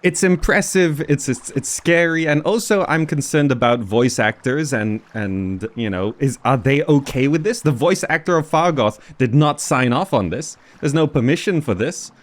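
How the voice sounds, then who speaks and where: A man's voice: on the high side, animated, normal speed, few pauses. A man hosting a gaming video with casual, off-the-cuff commentary, often repeating words as he muses aloud.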